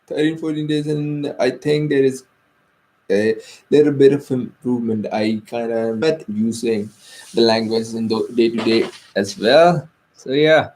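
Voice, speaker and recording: A man's voice: medium pitch, a little slow, darkish tone, low-quality recording. A young man with an Indian accent, a native Hindi speaker, talking hesitantly in a personal vlog with many fillers and restarts. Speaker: vlogger.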